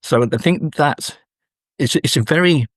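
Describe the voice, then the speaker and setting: A man's voice: on the high side, unhurried, dry recording, clean studio sound. A man speaking as an academic interview guest, in a scholarly, musing, stop-start way.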